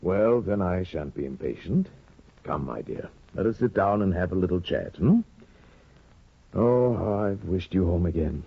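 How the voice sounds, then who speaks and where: A low-voiced, courtly gentleman judge on a muffled vintage radio recording. He is sly and worldly, sliding expressively through insinuating phrases with deliberate pauses. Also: animated, full character voice. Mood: genial. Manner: smooth insinuation, courtly, hesitant pauses for effect. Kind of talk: 1950s radio drama acting.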